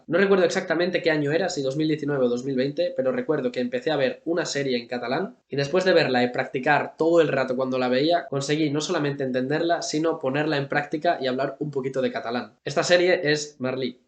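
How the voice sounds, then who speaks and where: A young man from Spain telling his own story as a language-learning video creator, in steady, earnest scripted storytelling. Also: fairly high pitch, roomy sound. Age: young adult. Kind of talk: scripted personal storytelling to camera.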